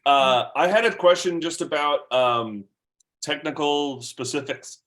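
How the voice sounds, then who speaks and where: A man's voice: fairly high pitch, a little slow, a bit bright. A man in the audience asking a question in a friendly, informal and slightly hesitant way. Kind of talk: casual chat.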